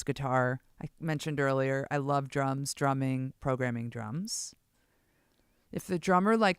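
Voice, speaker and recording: A woman with a low voice, rambling excitably on a casual live stream as she plays bass and fiddles with her gear. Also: smooth, no room sound, studio quality. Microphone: streaming setup mic.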